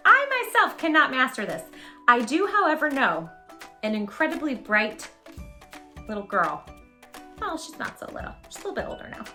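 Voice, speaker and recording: A woman's voice: high voice, big pitch swings, normal speed, pauses often, sharp tone, soft background music. A woman hosting a children's read-aloud, chatting to young listeners in an encouraging way and addressing them directly with questions. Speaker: host reading picture books aloud to children.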